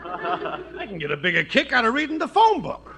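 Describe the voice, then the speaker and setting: A man playing an excitable, leering, wisecracking neighbor in a 1950s radio comedy, with an eager, slangy delivery. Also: very high voice, moderate pace, some chatter behind, heavily acted. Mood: unimpressed. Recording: noisy vintage radio recording.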